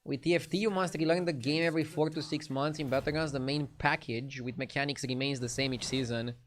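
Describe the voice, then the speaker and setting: A man's voice: mid-range voice, steady tone, brisk. A young man with a light Romanian accent, casually chatting with his live-stream audience while playing a card game. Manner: laid-back, chatty, answers chat between plays.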